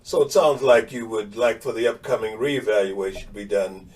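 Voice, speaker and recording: A man's voice: on the low side, normal speed, a little mellow, close to an everyday voice. Reassuring, formal principal, played by a man; distant, roomy pickup. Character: helpful, agreeable male school principal. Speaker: school principal in training video. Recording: distant mic.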